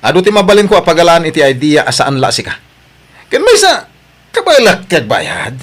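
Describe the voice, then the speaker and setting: A man's voice: a little high, huge pitch swings, brisk, a bit bright, exaggerated voice. A man with an Ilocano Filipino accent playing an arrogant, newly rich vlogger who talks brashly and dismissively in an audio drama.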